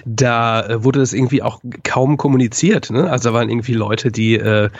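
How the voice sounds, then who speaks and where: Verschnupfter Mann, dumpfe trockene Leitung. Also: male voice, mid-range voice, a little fast, creaky, dry recording, lo-fi sound. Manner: hesitant, many fillers. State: stuffy nose from a cold. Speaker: podcast co-host. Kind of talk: casual chat.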